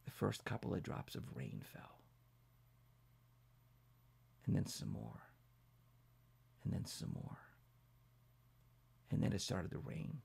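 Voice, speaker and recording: A man telling a children's bedtime story as a pastor, building it up dramatically from hushed to emphatic. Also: low-pitched, very animated, slow, vocal fry, pauses often, slightly rough.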